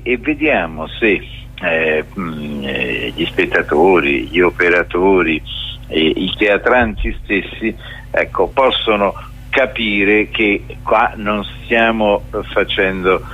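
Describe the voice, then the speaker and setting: Very low-voiced, halting man. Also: a bit bright, highs cut off. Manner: hesitant, halting, searching for words. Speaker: festival curator interviewed as guest. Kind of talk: spontaneous radio interview answers.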